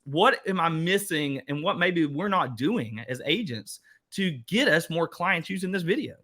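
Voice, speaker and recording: A man's voice: fairly high pitch, animated, normal speed, pushed and bright. A man with an Eastern Kentucky accent hosting a workshop panel, chatting folksily with the audience. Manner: chatty, folksy, restarts and speaks to the audience as "guys". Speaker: host. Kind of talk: casual workshop talk.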